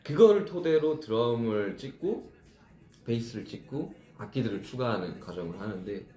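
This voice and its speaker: A man's voice: medium pitch, expressive, normal speed, warm tone, reverberant. A man in his thirties, a musician chatting casually with fans on a live stream, reflectively explaining how he writes his songs. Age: 30s.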